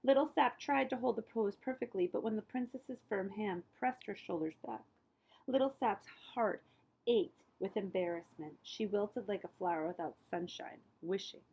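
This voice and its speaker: A woman reading a children's story aloud as a librarian, with expressive emphasis and phrases that often fall hushed. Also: mid-range voice, moderate pace, gravelly, slightly rough, rough recording.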